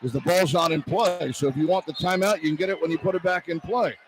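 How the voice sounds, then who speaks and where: A man calling live basketball play-by-play as a radio announcer, in clipped, urgent phrases. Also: on the high side. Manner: clipped, rapid-fire phrases that build in urgency. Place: courtside in an indoor arena. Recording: live arena broadcast with clear background noise. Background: noisy arena ambience.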